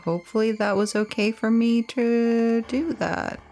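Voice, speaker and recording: A woman's voice: on the high side, fairly even intonation, a little slow, creaky, darkish tone. A woman game streamer casually playing a horror game and reading its text aloud. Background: game music.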